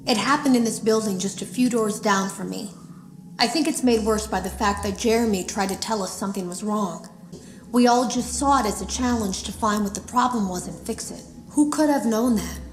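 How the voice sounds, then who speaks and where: A troubled female game tester played by an actress records a confidential log for a horror video game. She speaks at a medium pitch in an uneasy, matter-of-fact way, suspicious of those around her. The room echoes around her voice, with faint noise underneath. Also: close to an everyday voice. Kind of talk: scripted audio-log narration, naturalistic acting.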